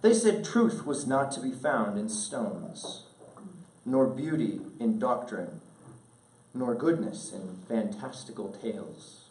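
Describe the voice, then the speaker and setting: A man reads a literary letter aloud in a measured, earnest, oratorical way, pausing deliberately, recorded at a distance in an echoing room. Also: mid-range voice, very animated, darkish tone. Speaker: speaker reading a letter aloud at an event. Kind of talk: solemn literary letter read aloud to an audience. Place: indoor event room.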